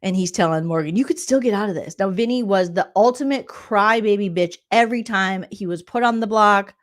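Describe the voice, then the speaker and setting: Woman comedian, snarky recap host, mocks the cast with quick impressions. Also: mid-range voice. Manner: mocking, jumps into exaggerated character voices. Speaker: comedian recapping a reality show.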